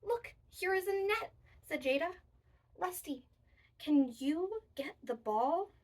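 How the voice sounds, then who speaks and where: A woman's voice: very high voice, expressive, slow, far from the mic. A woman presenting a children's storytime, explaining activities and reading picture books in a sing-song, emphatic way.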